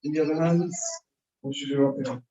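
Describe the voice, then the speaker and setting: Mellow-voiced man hosting from afar. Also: fairly high pitch, steady tone. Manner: brisk, invites questions from the audience. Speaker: event host. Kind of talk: hosting a community event. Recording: dull, echoey, from far off with faint noise.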